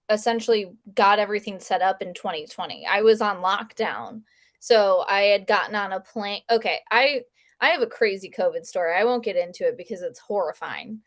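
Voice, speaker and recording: Animated woman telling stories. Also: mid-range voice, moderate pace. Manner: animated storyteller, laughing between phrases. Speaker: guest author and game designer. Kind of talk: casual interview chat.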